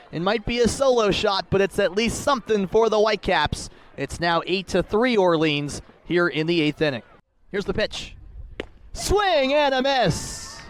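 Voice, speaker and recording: A young man doing radio baseball play-by-play in a descriptive, dramatic way at an outdoor ballpark. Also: high-pitched. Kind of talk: radio sports play-by-play commentary.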